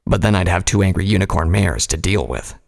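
A man voicing a stern, measured military pony stallion in a fantasy audio story, with a commanding manner. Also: sits low, lively intonation, vocal fry, in a large hall, voice pushed down. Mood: resigned.

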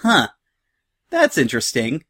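A man's voice: medium pitch, wildly swooping pitch, a little slow, pushed and bright, slightly rough, dry recording, full character voice. A man voicing a shrewd, sardonic young female unicorn in a storybook, with pointed, dry questioning. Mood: musing.

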